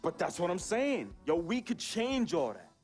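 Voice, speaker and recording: An actor playing a slick, streetwise hustler friend with an American accent, talking in slang in a scripted drama. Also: male voice, high voice, wildly swooping pitch, normal speed, a little mellow, faint music behind, exaggerated voice. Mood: persuasive, cocky. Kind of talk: scripted film-style game drama acting.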